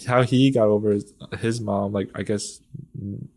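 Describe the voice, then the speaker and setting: A man's voice: on the low side, fairly even intonation, moderate pace, gravelly, darkish tone. A young man, a podcast guest, talking casually and rambling with many fillers and frequent laughs. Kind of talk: casual conversation.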